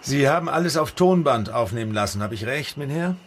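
A man's voice: mid-range voice, animated, brisk, close to an everyday voice. Dry police investigator played by a man, noisy background. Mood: polite. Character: Dutch police adjutant, matter-of-fact friend and investigator. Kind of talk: radio crime drama dialogue. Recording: clear background noise under dialogue.

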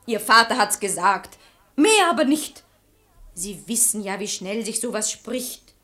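An actress playing a saucy, quick-witted maidservant in a verse radio play, pert and theatrical. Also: female voice, mid-range voice, lively intonation, fairly bright tone. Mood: soothing urgency. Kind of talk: German radio play acting in rhymed verse.

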